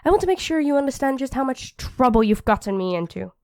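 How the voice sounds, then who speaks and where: A woman's voice: sits high, very animated, fast talker, darkish tone, no room sound, clean studio sound. A woman playing a feisty, protective demon queen who is a devoted girlfriend, commanding yet affectionate, in an ASMR roleplay. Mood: stern, commanding.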